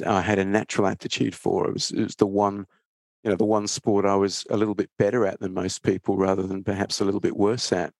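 Man, Australian accent, lowish pitch, interview guest, casual conversation, halting restarts, very dry room-free sound. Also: dry recording. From England, then Australia from age thirteen. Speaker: interview guest, trading educator.

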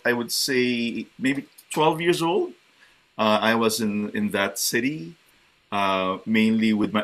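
A man in his forties, from the Philippines, speaks as a guest over a video call. His voice is bright and sharp-edged. He talks hesitantly, with frequent ums, restarts and easy laughs. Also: on the low side. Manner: hesitant, many fillers and restarts, laughs often. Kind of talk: casual interview chat.

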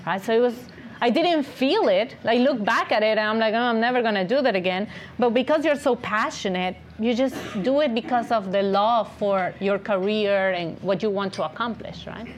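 A woman's voice: fairly high pitch, moderate pace, slight background noise. A woman with a non-native English accent giving an encouraging, conversational business talk and often checking in with "right?". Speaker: guest speaker, agency founder and CEO.

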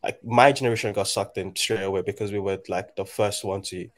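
A man in his twenties from Nigeria, talking in a reflective, halting way with many restarts in a casual conversation. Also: lowish voice, fairly even intonation. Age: 20s.

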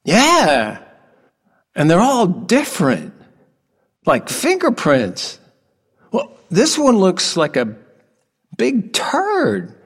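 An actor playing an odd, nostalgic exterminator in an audio drama, earnest and halting with a childlike sense of wonder. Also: male voice, high-pitched, wildly swooping pitch, slightly rough, no room sound, clean studio sound, heavily acted. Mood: eager wonder. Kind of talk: intimate audio drama acting.

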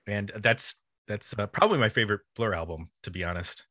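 Bright-voiced man hosting a music podcast. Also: on the low side, a little fast, no echo, studio quality. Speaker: podcast host. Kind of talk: casual music discussion, reading out listener comments.

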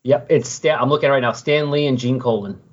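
A man with a husky voice at a middling pitch talks casually, as a podcast co-host would. He moves easily between chat and reading aloud. The recording is a little dull and lo-fi. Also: a little fast. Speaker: co-host of a comic book discussion podcast. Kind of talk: casual comic book discussion, reading dialogue aloud.

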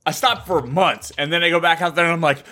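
A man's voice: on the high side, fast talker, bright, forceful tone. A man chatting casually and jokingly, acting out little inner monologues as he talks. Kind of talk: casual banter.

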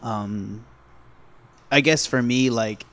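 A man's voice: mid-range voice, slow pace, fairly bright tone. Hesitant man musing about a film. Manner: hesitant, with frequent restarts and trailing off. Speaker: podcast co-host. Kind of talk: casual film review chat.

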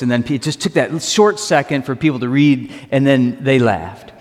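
A man preaching a sermon as pastor, earnest and emphatic, slowing down to stress key phrases. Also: mid-range voice, darkish tone. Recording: reverberant hall.

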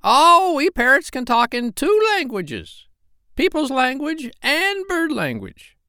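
A man voicing a bossy, clever female parrot in a shrill, high voice for a children's storybook. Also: wildly swooping pitch, takes it slowly, pushed and bright, no room sound, studio quality, heavily acted. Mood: proud, insistent. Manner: emphatic, instructing.

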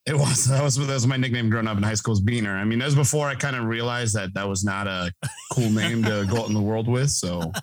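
A man's voice: lowish voice, fast, no room sound. A man in his thirties hosting a podcast, joking and rambling with lots of fillers. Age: 30s. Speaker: podcast host. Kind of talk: casual chat.

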